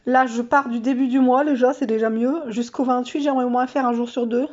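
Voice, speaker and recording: A woman's voice: fairly high pitch, fairly even intonation, fairly quick, a little mellow, dull audio. A woman vlogger with a stuffy, nasal voice from a cold, chatting casually and self-mockingly through her monthly challenges with lots of fillers.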